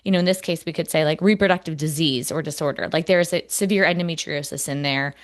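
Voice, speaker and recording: Woman podcast host, lowish voice, casual, dry close mic. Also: fast, no room sound. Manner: conversational, with fillers and agreeing murmurs. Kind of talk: casual interview chat.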